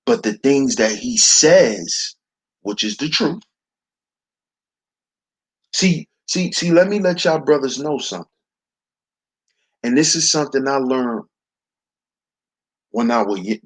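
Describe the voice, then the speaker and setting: A man with a baritone voice hosting a slow, preachy commentary monologue, calling his listeners brothers. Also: a bit bright, slightly rough. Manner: preachy, addresses listeners as brothers, repeats "see". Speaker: commentary channel host.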